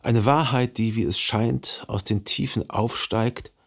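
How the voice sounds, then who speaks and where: An actor playing a brooding, melancholy man who recalls a dead lover in reflective, measured radio drama narration. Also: mid-range voice, fairly even intonation, gravelly. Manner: reflective, measured, analytical monologue.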